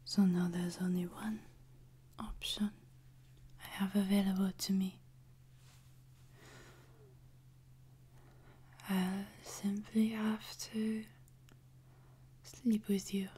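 Whispering French maid played by a woman, very slow. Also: mid-range voice, flat delivery. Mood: soothing, tender. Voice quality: soft, breathy whisper. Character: soothing, devoted, gently dominant French maid. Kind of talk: whispered ASMR sleep-aid roleplay. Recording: noticeable background noise, ambience.

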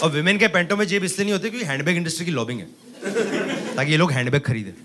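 A man in his thirties performing an animated stand-up comedy set on a club stage with a handheld mic, teasing the audience. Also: fairly high pitch, rapid-fire. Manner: animated, gestures a lot, teases the audience. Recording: loud crowd laughter and noise. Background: audience laughter.

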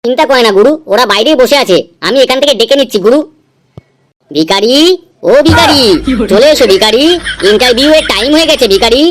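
An eager-to-please henchman in a comedy cartoon, played by a woman. The voice is high and the manner meek, coaxing and deferential. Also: lively intonation, a little fast, lightly acted. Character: meek, eager-to-please male sidekick of a boss.

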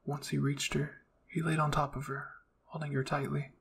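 Gravelly man reading a story, fairly even. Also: a little high, normal speed, slight background noise. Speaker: storyteller, channel host. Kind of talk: scripted fan fiction narration.

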